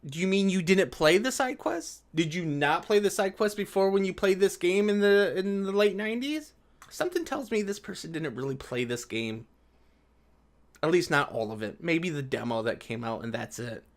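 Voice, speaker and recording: A man's voice: sits high, animated, normal speed. A man giving casual reaction commentary on an article, sarcastic and exasperated, swearing freely. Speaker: video commentator.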